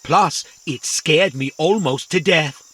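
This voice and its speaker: An actor playing a talkative, literal-minded and excitable young robot in an audio drama for children. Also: male voice, a little high, slow, full character voice. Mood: chatty, eager.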